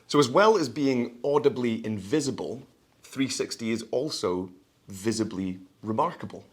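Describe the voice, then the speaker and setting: A man's voice: medium pitch, lively intonation, moderate pace, husky. A man giving a product launch presentation as a company presenter, in a polished, florid sales manner with hesitant asides.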